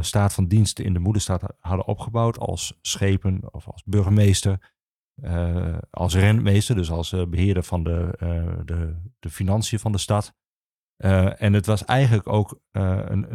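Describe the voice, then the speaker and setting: A man the explains history as an interview guest, haltingly searching for his words. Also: low-pitched, creaky, warm tone, no room sound, clean studio sound. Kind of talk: explanatory conversation for a history podcast.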